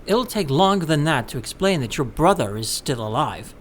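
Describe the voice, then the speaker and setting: A male negotiator in a suit speaks in a slightly high voice. His intonation is lively in this audio drama. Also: normal speed. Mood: dry.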